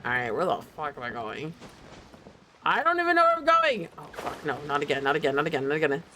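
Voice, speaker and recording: A woman's voice: low voice, wildly swooping pitch, fast talker, bright, forceful tone, slight background noise. A woman streaming a game with casual commentary, swearing and muttering to herself as she plays. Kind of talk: casual gameplay commentary, reading dialogue aloud. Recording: music behind the voice.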